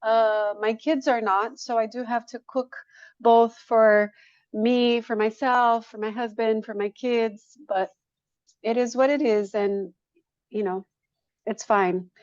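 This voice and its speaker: A woman's voice: a little high, fairly even intonation, takes it slowly, darkish tone, no echo. Matter-of-fact woman, dry and slightly dull sound. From Brazil. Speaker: guest, yoga studio owner. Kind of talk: casual interview answers.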